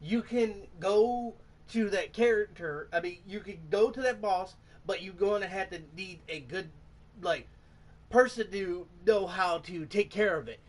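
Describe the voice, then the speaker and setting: A man hosting a gaming channel, giving casual, halting commentary and often groping for words. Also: high voice. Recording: voice distant from the mic.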